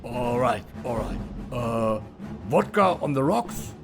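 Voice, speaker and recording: A man plays a senile old colonel running an agency in a motion comic. He rambles slowly and loses his thread, hesitating with hums. His intonation swings up and down over clearly audible music. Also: medium pitch, sing-song. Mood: befuddled, hesitant. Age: older. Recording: music behind the voice.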